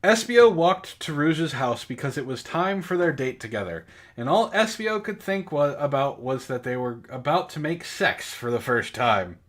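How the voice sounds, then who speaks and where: A man's voice: fairly high pitch, very animated, moderate pace. A man co-hosting a comedic book club, reading amateur fiction aloud in a mock-serious way with joking asides. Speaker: podcast co-host and story reader.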